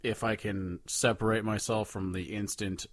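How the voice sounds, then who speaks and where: Man, low voice, podcast co-host, casual, opinionated, sweary, dry recording. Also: fairly even intonation, moderate pace, no room sound. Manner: opinionated, profane, informal. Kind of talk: casual chat.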